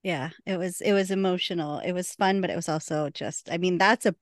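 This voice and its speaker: A woman's voice: on the low side, fairly quick, no echo, studio quality. A woman co-hosting a podcast, chatting casually and laughing often. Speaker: podcast co-host.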